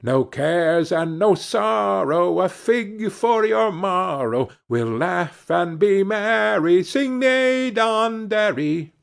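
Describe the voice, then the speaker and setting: A man's voice: high-pitched, animated, takes it slowly, gravelly, few pauses, voice pushed up, heavily acted. A man voicing a cheerful, naive young servant lad in a fairy tale, earnest and exclaiming. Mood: merry singsong. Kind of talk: fairy tale storybook reading.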